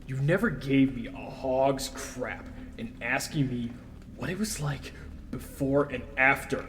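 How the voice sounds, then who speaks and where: A man playing a wounded, bitter son confronting his mother in an audio drama, accusing and emphatic in a halting way. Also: mid-range voice, fairly bright tone, lightly acted. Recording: faint background noise.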